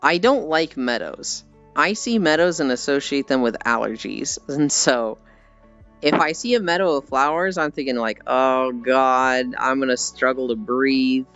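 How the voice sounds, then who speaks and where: Smooth-voiced American man, chatting casually. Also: mid-range voice, measured pace, a bit bright. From United States. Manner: rambling, self-deprecating, casually sweary. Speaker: livestreamer chatting with viewers. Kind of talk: casual livestream chat. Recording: slightly dull audio with music behind. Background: background music.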